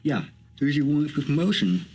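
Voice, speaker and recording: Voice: medium pitch, sing-song, brisk, a little mellow, slightly rough. An actor playing a kindly, teasing police captain in a radio play. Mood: relieved, teasing. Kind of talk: old-time radio play acting.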